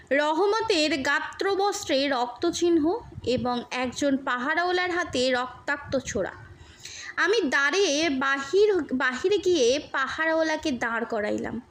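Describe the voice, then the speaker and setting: High-pitched woman narrating a story, unhurried. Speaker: audiobook narrator. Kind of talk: literary short story narration.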